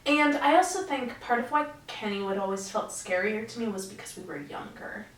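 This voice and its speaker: A young woman chats with a friend, laughing easily and talking in loose, rambling bursts. Her voice sits at a medium pitch and sounds a little distant from the mic, with noticeable room echo around it. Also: expressive, a little fast. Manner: chatty, laughs often. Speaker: podcast co-host. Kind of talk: casual chat.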